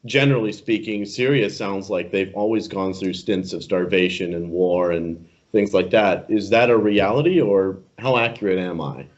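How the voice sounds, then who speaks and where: A young man hosting a casual interview, chatty and quick to laugh, rephrasing his questions as he goes. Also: deep voice. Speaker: interviewer host. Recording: muffled lo-fi recording.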